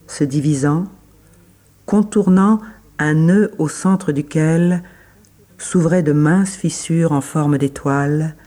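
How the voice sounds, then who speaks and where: A man reading literary prose as a scripted narration, with measured, deliberate phrasing and pauses between phrases. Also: on the high side, mellow.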